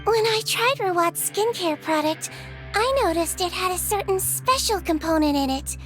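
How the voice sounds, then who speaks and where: Little nonhuman nurse: tiny, extremely high voice, cute, earnest, precise game character, faint music. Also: female voice, lively intonation, normal speed, fairly bright tone. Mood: clever, confident. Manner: cute, childlike, precise explaining. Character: small earnest nurse girl, nonhuman Melusine, clever and composed. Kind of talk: video game voice acting.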